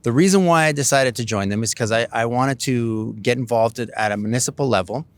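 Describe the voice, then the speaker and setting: A man's voice: mid-range voice, brisk, dry recording. A middle-aged Canadian man, a city council candidate, answering interview questions in a rambling way with many fillers and restarts.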